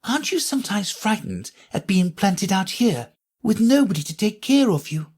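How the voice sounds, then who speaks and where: A man's voice: high voice, big pitch swings, moderate pace, a little mellow, slightly rough, pitched above the performer's own voice, exaggerated voice. A man putting on a timid, polite little girl. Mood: curious. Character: polite curious young girl.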